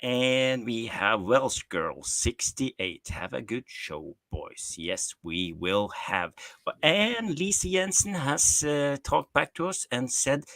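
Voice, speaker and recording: Bright-voiced man hosting a fan stream. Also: mid-range voice, lively intonation, slow pace, no echo. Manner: upbeat, with frequent "uh" hesitations. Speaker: co-host of a fan call-in show. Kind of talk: casual live-stream chat, reading out viewer comments.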